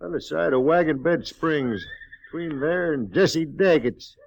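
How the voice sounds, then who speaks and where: An actor playing a blunt, indignant stagecoach driver with a gravelly voice and rustic American speech in a radio western. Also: male voice, fairly high pitch, measured pace, dry recording. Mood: aggrieved, urgent. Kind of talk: 1950s radio western acting. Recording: dull 1950s radio recording.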